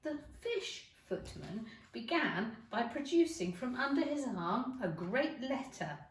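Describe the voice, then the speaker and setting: A woman giving an expressive storybook narration. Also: on the high side, slow. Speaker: teacher reading to schoolchildren. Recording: roomy echo, distant mic.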